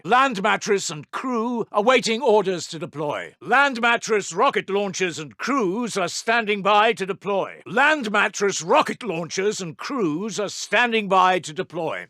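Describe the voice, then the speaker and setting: British wartime intelligence officer, strategy game announcer. Animated, swooping delivery, dry close sound, crisp and martial. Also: male voice, high-pitched, no echo, heavily acted. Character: eager British WWII military intelligence officer, martial and urgent. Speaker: military announcer briefing the commander. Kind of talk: strategy game voice lines, clipped military announcements.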